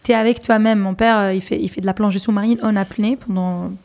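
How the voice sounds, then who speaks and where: A lively woman in her thirties, born and raised in Algeria, talking as an interview guest. She speaks in a mid-pitched voice, laughs easily and piles up fillers and restarts as she thinks aloud. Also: fast. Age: 30s. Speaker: guest, journalist and TV presenter. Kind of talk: casual interview talk.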